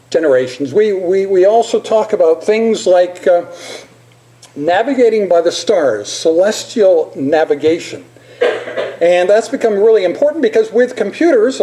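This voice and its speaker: An older man giving an informal presentation as a lecturer, halting at times with repeated words and pauses. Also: high voice, mellow, rough recording. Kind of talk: informal slide presentation with poetry recitation.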